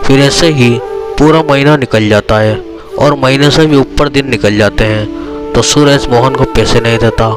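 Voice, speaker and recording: A man's voice: mid-range voice, moderate pace. A man narrating a cartoon tale as a storyteller. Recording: music behind the voice.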